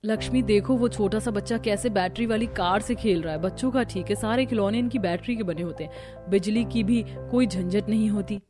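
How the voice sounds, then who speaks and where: A woman voicing a young, fretful daughter-in-law in a family cartoon, with an expressive delivery. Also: medium pitch, fairly quick, over music, natural voice. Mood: wondering. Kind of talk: Hindi family cartoon acting.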